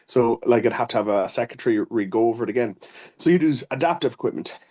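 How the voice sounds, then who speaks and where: An Irish man of middle pitch answers interview questions earnestly. He rambles, restarts sentences and leans on fillers as he explains his work. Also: lively intonation, quick pace, a little mellow. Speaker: occupational therapist.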